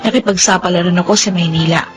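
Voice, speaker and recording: A woman's voice: on the low side, steady tone, fast, soft background music, close to an everyday voice. An actress with a Filipino accent playing a vain, carefree young kept woman in a radio drama, self-satisfied and coquettish. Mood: reflective narration. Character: Agnes, vain carefree young kept mistress, former waitress.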